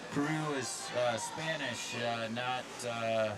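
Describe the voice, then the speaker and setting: A man doing relaxed color commentary at an ice rink, drifting from story to story with plenty of fillers and false starts. His voice sits in an ordinary middle pitch, and loud arena ambience fills the background. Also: slow pace, heavy background noise. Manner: rambling, storytelling, many fillers and restarts. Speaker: hockey commentator.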